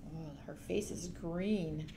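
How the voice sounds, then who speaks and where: Slow, expressive woman reading to young children. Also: low voice, creaky, slight background noise. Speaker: children's librarian, storyteller. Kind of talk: children's storybook read-aloud.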